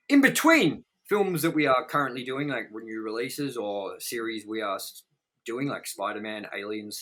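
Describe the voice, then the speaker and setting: Bright-voiced man, blunt and sweary. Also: on the high side. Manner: blunt, sweary, exaggerating for effect. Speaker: co-host of a movie review show. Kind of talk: casual opinionated film review chat.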